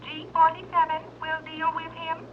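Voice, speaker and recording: A stern young submarine commander who gives clipped, curt orders in a very high, flat voice. It comes through as a tinny, narrow radio transmission on an old serial recording with faint hiss. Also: female voice, normal speed. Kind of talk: 1930s radio acting.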